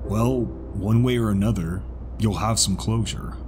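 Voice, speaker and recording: A man voicing a gentle, world-weary zebra companion in a dramatized audiobook, speaking in an earnest, measured way. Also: lowish voice, steady tone, noisy background, natural voice. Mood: gentle, reassuring. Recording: music behind the voice.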